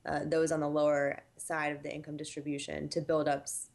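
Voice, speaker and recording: Low female voice, expert interview guest, measured and explanatory. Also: monotone, creaky, darkish tone. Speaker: housing statistics director, guest. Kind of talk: interview answers.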